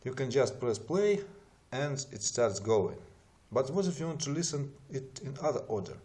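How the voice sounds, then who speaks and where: A man who is not a native English speaker narrates an explanatory software tutorial in a methodical, step-by-step way. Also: medium pitch, very animated, normal speed.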